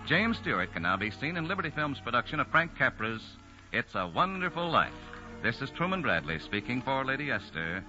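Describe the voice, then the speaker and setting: A man's voice: medium pitch, big pitch swings, fairly quick, bright, forceful tone. A man announcing formally from a script in 1940s radio style. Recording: muffled vintage radio broadcast. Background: music.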